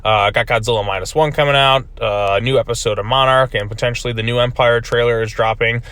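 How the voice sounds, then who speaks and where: A man's voice: medium pitch, fairly even intonation, fast talker, a bit bright, no echo. A man giving a casual video review of a comic book, rambling with many fillers, his voice still recovering from being lost.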